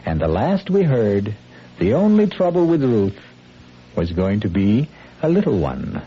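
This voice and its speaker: A man hosting a 1970s radio mystery show, narrating with wry, deliberate phrasing. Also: lowish voice, wildly swooping pitch, warm tone. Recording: muffled old radio recording. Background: music.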